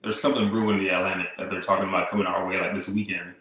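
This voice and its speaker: A man from Haiti in his thirties speaks as a podcast guest, his voice sitting very low. He talks in a relaxed, casual way, strung with easygoing fillers, and the room around him rings with a strong echo. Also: very fast, a little hiss or hum. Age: 30s. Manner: relaxed storytelling, frequent "like" and "kinda" fillers. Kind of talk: casual interview chat. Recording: echoey room.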